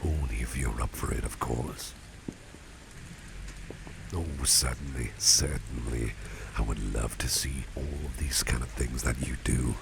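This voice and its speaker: A man playing a kindly, genteel boss in an ASMR roleplay, speaking in an unhurried, breathy half-whisper with a gravelly depth. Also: extremely low pitch, noisy background, heavily acted. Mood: curious, friendly. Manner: genteel, unhurried, repeats phrases for emphasis. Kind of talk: ASMR roleplay, soft-spoken personal attention.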